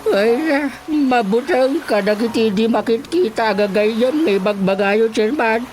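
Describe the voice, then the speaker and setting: A woman's voice: on the high side, a little slow, darkish tone, soft background music, close to an everyday voice. An actress playing a chatty, nervous village woman in a radio comedy drama, speaking with an Ilocano Filipino accent. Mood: nervous, pleading.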